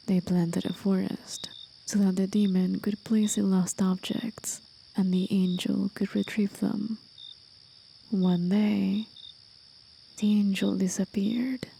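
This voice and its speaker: A woman voicing a gentle, wistful demon guide in a soft, breathy, whispered ASMR roleplay, telling an old tale in a measured, hushed way. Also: mid-range voice, slight background noise. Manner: slow, hushed, measured storyteller. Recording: faint nighttime cricket and insect ambience. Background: crickets and night insects.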